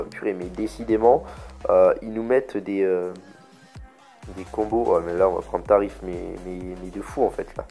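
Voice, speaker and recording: A man with a cold giving live commentary on his own gameplay. He is excited and keeps pointing things out to his viewers. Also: on the low side. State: has a cold, stuffy. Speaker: gaming video creator.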